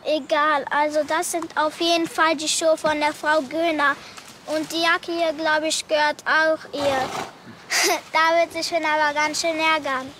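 An actress playing a casual witness helping the police in a TV crime comedy. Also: female voice, sits high, flat delivery, a little slow, pushed and bright, slight background noise.

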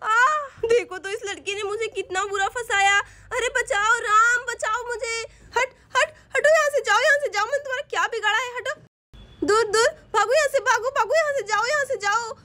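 A woman's voice: extremely high pitch, normal speed, hardly any pauses, pushed and bright. A woman voicing a frightened young woman in a cartoon story, crying out for help. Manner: shrieking, tearful.

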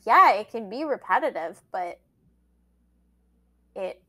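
A woman whose first language is Portuguese speaks as an interview guest at an unhurried pace. Her talk is casual and loose, full of fillers and quick laughs, and her voice sits in an ordinary middle register. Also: mid-range voice, expressive, bright, forceful tone. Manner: chatty, laughs often, trails into fillers. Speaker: anthology editor, guest.

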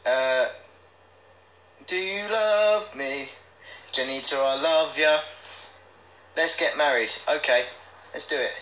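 A man's voice: a little high, slow pace, pauses often, bright, forceful tone, poor audio. A young man chatting casually on a livestream, reading out comments in a playful, silly manner. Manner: playful, silly, breaks into bits of song. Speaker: livestream host.

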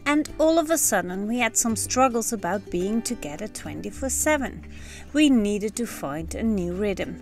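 Dutch-accented woman in her thirties, calm reflective vlog narration, soft music underneath. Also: medium pitch, moderate pace. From Netherlands. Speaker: sailing vlogger. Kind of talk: scripted voice-over narration. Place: on a sailboat cockpit under a canopy, outdoors.

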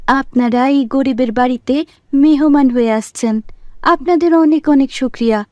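A woman's voice: sits high, normal speed, warm tone, no echo, muffled recording, lightly acted. A woman playing a poor, humble and earnest village mother in a cartoon. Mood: grateful. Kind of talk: cartoon voice acting.

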